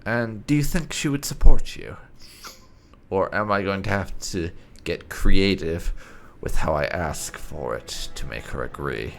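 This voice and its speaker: A man's voice: sits low, lively intonation, a little slow, vocal fry, a bit bright, slight background noise. A man hosting a roleplay game video, giving commentary and speaking in character in a wry, musing way. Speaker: gaming video host.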